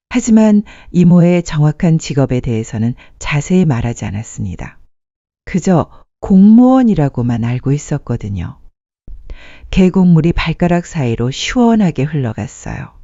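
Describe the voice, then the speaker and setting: A woman's voice: low voice, warm tone, no room sound, highs cut off. A woman narrating a sentimental story from a script, as a storyteller addressing listeners.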